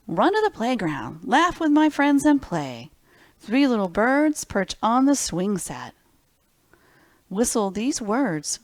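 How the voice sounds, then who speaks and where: A woman's voice: medium pitch, a little mellow, dry recording. A woman hosting a children's library storytime, reading picture books expressively and speaking simply and directly to young listeners.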